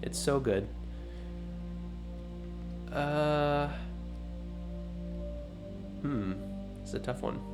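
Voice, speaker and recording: A man hosting a let's play, thinking aloud in an unhurried, musing way, his voice low and groggy from just waking up. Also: music in the background. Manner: musing, unhurried, self-talking. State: low morning voice, just woken up. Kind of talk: thinking-aloud gameplay commentary.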